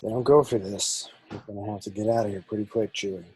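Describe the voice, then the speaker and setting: A man playing a cocky, wisecracking smuggler pilot with a husky voice and nervy bravado, in a script table read. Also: lowish voice, fairly even intonation, fast talker, darkish tone, dry recording. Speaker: actor in an online table read. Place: remote video call, indoors.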